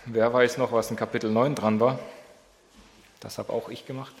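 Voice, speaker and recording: A man's voice: medium pitch, flat delivery, moderate pace. Man with a slight cold, Bible study leader who quizzes the group, voice in a reverberant hall. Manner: asks the group questions. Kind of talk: prayer and interactive Bible study. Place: church hall.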